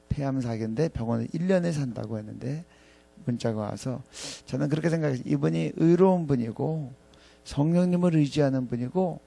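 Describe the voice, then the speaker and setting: A man in his fifties delivers a reflective chapel sermon. His voice is mellow and creaky, sitting at an average pitch. He speaks haltingly, pausing often and circling back to repeat phrases. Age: 50s. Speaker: professor preaching at a ministry staff chapel. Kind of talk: reflective sermon with scripture readings.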